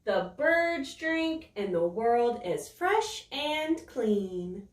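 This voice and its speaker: Woman, children's storytime reader; slow, very animated sing-song delivery, slightly distant mic. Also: a little high. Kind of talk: reading a picture book aloud to children. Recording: roomy echo, voice set back from the mic.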